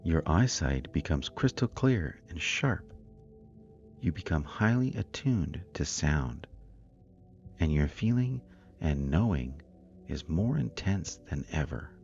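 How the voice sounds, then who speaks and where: A man narrating a scripted guided meditation in a calm, soothing, deliberate manner. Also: a bass voice, gravelly, highs cut off. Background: meditation music with singing bowls.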